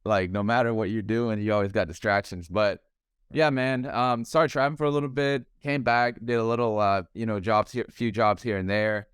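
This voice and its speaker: A young man with a low, smooth voice talks loosely and at length, dropping "man" and "bro" as he goes. He is a podcast guest on a video call from home. The sound is close and dry. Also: normal speed, no room sound. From Thailand. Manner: relaxed, talkative, calls the host bro and man. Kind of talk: casual interview chat.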